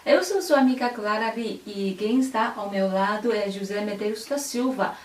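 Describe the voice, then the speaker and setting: A woman's voice: mid-range voice, normal speed, darkish tone, echoey room, distant mic. A woman hosting a radio programme, chatting about travel in a friendly conversational style. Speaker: radio host.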